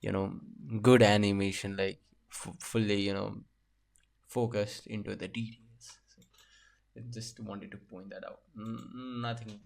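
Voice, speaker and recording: Low gravelly man, halting reaction chat. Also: flat delivery, darkish tone. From Asia. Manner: halting, with many fillers and restarts. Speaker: reaction video host. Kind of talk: casual reaction commentary.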